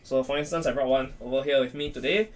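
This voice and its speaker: A man's voice: mid-range voice, steady tone, fast talker, slight background noise. A man chatting casually as a podcast guest, rambling and repeating himself, often tagging his phrases with "right". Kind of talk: casual chat.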